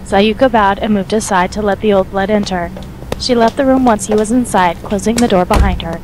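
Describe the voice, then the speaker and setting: A woman's voice: medium pitch, a little fast, darkish tone, low-quality recording. Woman narrating a story, faint noise.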